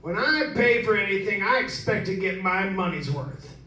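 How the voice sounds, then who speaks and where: A man's voice: high voice, animated, moderate pace, bright, forceful tone. An actor playing a blunt, bossy, wealthy middle-aged father in an amateur old-time radio drama. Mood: brash, impatient. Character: blunt, wealthy, brash father who bought an old house. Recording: echoey room, distant microphone.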